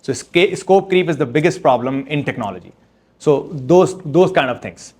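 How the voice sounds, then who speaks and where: A man speaking as an author at a book launch, answering audience questions in a halting, step-by-step way with frequent restarts. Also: a little high, animated, a little mellow, husky. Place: event room with audience.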